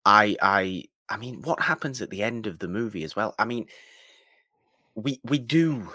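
A man co-hosting a film podcast, casually giving his opinions in a halting but emphatic way. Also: fairly low pitch, lively intonation, pushed and bright, no room sound, clean studio sound. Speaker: podcast co-host.

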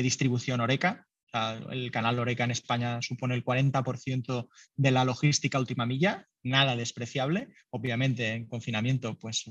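A man's voice: mid-range voice, a bit bright, dry recording, muffled recording. A man, a startup founder answering interview questions in a loose, conversational way with lots of fillers. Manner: loose and conversational, many fillers, laughs lightly.

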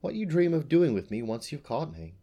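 A man's voice: a little high, very animated, a little fast, mellow, pitched above the performer's own voice. A man voicing a proud, ancient female unicorn from a storybook, haughty and formal in manner. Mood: musing, regal.

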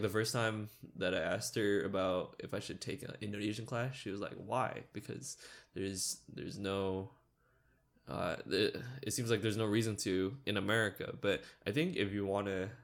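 A man's voice: on the low side, steady tone, normal speed, creaky. A young man co-hosting a podcast, talking in a laid-back, rambling way with many fillers. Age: young adult. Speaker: podcast co-host. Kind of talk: casual chat.

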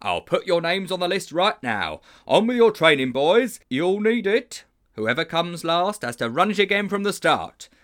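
A man voicing a loud, overbearing, hearty male schoolteacher in a storybook, with a booming, bellowing delivery. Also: sing-song, moderate pace. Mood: hearty, commanding.

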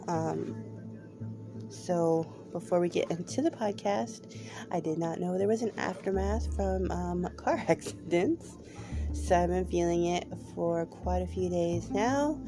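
A woman's voice: on the low side, a little slow, music in the background. A woman hosting her own podcast, talking candidly with many hesitant ums, her voice a little off from a cold. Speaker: podcast host. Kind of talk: personal podcast monologue.

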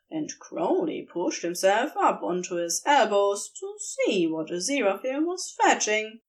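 Slow, lilting woman reading a story. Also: a little high. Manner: unhurried, expressive storytelling. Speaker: podfic reader. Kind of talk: reading fan fiction aloud.

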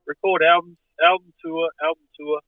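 A man's voice: fairly high pitch, flat delivery, moderate pace, narrow, tinny audio, background noise. A man from Australia, a band's singer as guest, speaking dryly and tongue-in-cheek in a casual interview.

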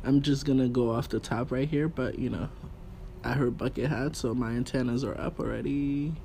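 A man chatting casually and informally as a guest, using relaxed slang as he gives his opinions. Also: medium pitch, normal speed. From Haitian background. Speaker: podcast guest. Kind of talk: casual chat about fashion. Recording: clear background room noise.

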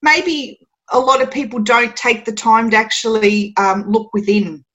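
An Australian woman chatting as a podcast guest, laughing often and filling pauses with ums. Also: a little high, fairly bright tone, poor audio. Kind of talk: casual podcast interview.

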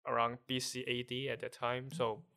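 Smooth-voiced man with a Hong Kong accent. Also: medium pitch, monotone, sharp tone. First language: Cantonese. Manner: halting, searching for words while explaining. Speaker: guest. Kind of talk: casual conversation.

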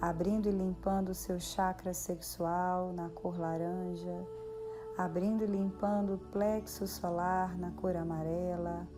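A Brazilian woman leading a guided meditation in a soothing, measured way with long pauses. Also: medium pitch. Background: background music.

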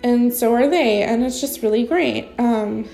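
A young woman with a congested, blocked-up nose talks casually and rambles with plenty of fillers. Her voice sits a bit high, with a gravelly fry and a mellow, soft tone. Faint music plays underneath. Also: measured pace, low-quality recording. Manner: rambling, spontaneous, heavy with fillers. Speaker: recovery sponsor sharing experience. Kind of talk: casual unscripted vlog talk.

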